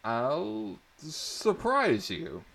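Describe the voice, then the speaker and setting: A man's voice: medium pitch, wildly swooping pitch, very slow, a bit bright, voice pushed up, exaggerated voice. A man voicing an awkward, eager teenage boy who speaks hesitantly, in a dramatic story reading. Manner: hesitant, stammering.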